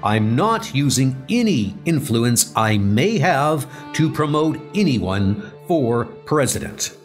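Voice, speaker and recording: A composed heroic man , acted by a male reader, makes an earnest public declaration in audio comic style. His speech is formal and emphatic, unhurried yet varied in pitch, with music clearly behind it. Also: very animated. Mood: earnest, firm. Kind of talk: audio comic book acting.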